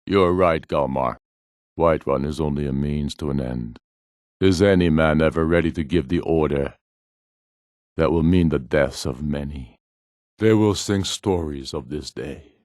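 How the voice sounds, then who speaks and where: A proud Nordic warlord for a fantasy video game, with an extremely deep voice. He speaks like a ruler addressing his warriors, solemn, measured and imperious. The sound is close and dry. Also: a little mellow, no echo. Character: proud, commanding rebel warlord, a middle-aged Nordic jarl.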